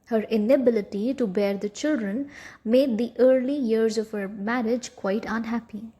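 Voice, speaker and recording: A woman's voice: fairly high pitch, normal speed, dark tone, breathy edge. A woman reading scripted educational narration for a short history film.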